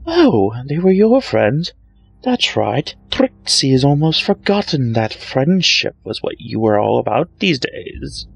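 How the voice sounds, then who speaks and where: A man's voice: very animated, unhurried, vocal fry, breathy edge, full character voice. A man voicing a boastful, vengeful female unicorn magician with a haughty, theatrical, taunting manner, in a story reading. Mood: mocking. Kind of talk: fan fiction story reading. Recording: low-grade mic with music bed.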